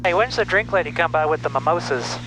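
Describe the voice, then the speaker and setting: A man's voice: a little high, lively intonation, quick pace. An American man, a student pilot, chatting casually over a headset intercom from the back of a small plane. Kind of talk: casual chat. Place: back seat of small airplane. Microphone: aviation headset mic. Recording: loud aircraft hum, headset intercom.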